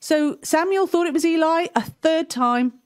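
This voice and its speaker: A woman's voice: very high voice, moderate pace. A woman hosting a children's story time, chatty and giggly as she retells a story. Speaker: storyteller host.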